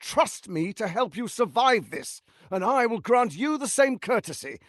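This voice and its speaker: A man playing a smooth-tongued, condescending tyrant lord in a video game, with a pompous, theatrical delivery and deliberate emphasis. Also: high-pitched, animated, a bit bright, no echo, studio quality. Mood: persuasive, ingratiating.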